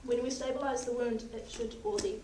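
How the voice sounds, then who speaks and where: A young woman in a paramedic practical exam, calmly talking a patient through each step while losing her voice. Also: a little high, a little fast. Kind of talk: narrating a clinical skills demonstration. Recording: echoey, distant, noisy room.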